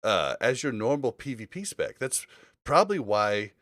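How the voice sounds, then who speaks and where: Lively, opinionated man on a podcast. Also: mid-range voice, normal speed, no room sound, studio quality. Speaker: podcast host. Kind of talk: casual gaming podcast chat.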